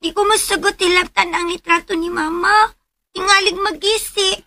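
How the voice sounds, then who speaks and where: A woman's voice: very high voice, fairly even intonation, moderate pace, bright, forceful tone, heavily acted. A woman playing an insistent young girl in a Philippine radio drama, with a Cebuano Filipino accent. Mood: stubborn. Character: young girl searching for her mother, insistent.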